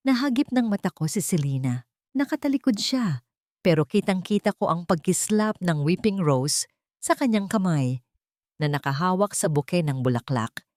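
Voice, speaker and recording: A woman's voice: lowish voice, a little mellow, no echo, clean studio sound. A woman reading a scripted first-person story in a dramatic narrating style.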